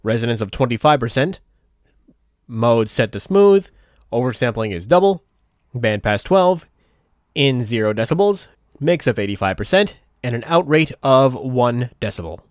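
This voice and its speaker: A man narrating a software tutorial step by step in a methodical, explanatory way. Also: mid-range voice, animated, moderate pace, dry recording, clean studio sound. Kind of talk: step-by-step instructional voice-over.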